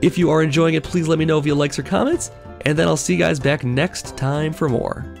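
A man's voice: fairly high pitch, steady tone, brisk, creaky, soft background music. A man hosting a gaming video, talking casually through his play while getting over a cold, thinking aloud with lots of fillers. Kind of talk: casual let's play commentary.

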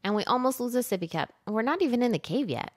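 A woman in her thirties narrating her family's travel vlog in a chatty, lively way, laughing often. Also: fairly high pitch, racing, heavy background noise. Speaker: family vlogger, mother.

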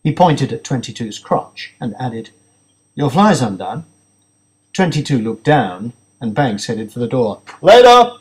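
A man's voice: mid-range voice, normal speed, hardly any pauses, darkish tone. A man narrating a thriller audiobook in a scripted, dramatic storytelling style.

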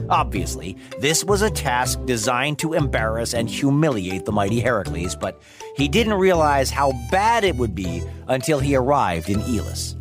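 A man tells a children's tale in a fairly high voice that swings widely up and down. He is playful and wry, leaning into dramatic emphasis, with music clearly playing behind him. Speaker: podcast narrator and host. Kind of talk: animated storytelling narration of Greek myths for children.

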